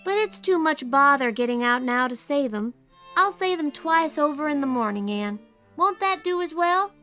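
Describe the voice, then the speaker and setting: Small boy, sulky and defiant yet eager for approval. High, sharp childlike voice for storybook drama. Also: expressive, moderate pace, faint music behind. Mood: earnest, conceding. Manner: ungrammatical small-child talk, defiant and plain-spoken. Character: sulky, earnest little boy seeking approval. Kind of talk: audiobook drama acting.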